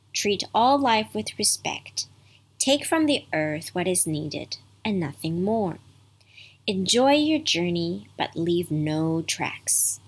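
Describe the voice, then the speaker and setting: A woman voicing a kind, patient old grandfather in a bedtime story, soft-spoken and gently teaching. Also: fairly low pitch, husky, full character voice.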